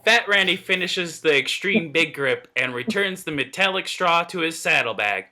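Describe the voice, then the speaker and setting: A man's voice: a little high, expressive, moderate pace, noisy background. A man reading scripted narration aloud in an informal read-through, with the odd slip and stumble. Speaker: table reader of script narration and dialogue.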